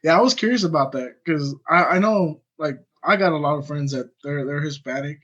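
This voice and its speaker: Higher-voiced man with a muffled sound. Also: fairly quick, fairly bright tone. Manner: laid-back, filler-heavy questions. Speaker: podcast co-host. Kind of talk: casual podcast interview.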